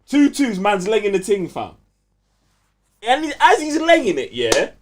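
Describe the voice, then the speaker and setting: A man's voice: high voice, unhurried, a little hiss or hum. Young London man, casual and emphatic. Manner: animated, emphatic storytelling. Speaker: podcast co-host. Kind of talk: casual chat with heavy street slang.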